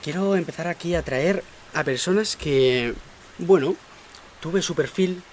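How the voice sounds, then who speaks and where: A man hosting a podcast, upbeat and quick to laugh in casual chat. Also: fairly high pitch, a little hiss or hum. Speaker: podcast host.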